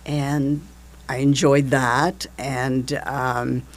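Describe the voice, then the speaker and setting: A woman's voice: extremely low pitch, flat delivery, extremely slow. An older woman, an event coordinator, telling her story in a casual interview in a hesitant, rambling way with many fillers.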